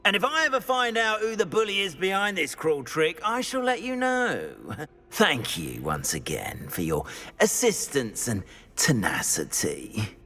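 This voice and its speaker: Fussy, theatrical, high-pitched wizard caretaker. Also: male voice, sing-song, measured pace, bright, forceful tone, long reverb tail, heavily acted. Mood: grateful, earnest. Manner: dramatic, hesitant, florid. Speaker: school caretaker character. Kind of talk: video game character acting.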